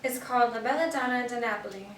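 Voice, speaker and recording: A composed, businesslike female curator, played by a stage actress speaks quickly in a fairly high voice. Her delivery is formal, in the manner of a radio noir drama. The sound is roomy, as if miked from across a theatre. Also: fairly bright tone, natural voice. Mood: poised, confiding. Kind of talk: old-time radio drama acting on stage. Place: theatre stage, recorded from a distance. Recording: echoey stage, distant mic, faint noise.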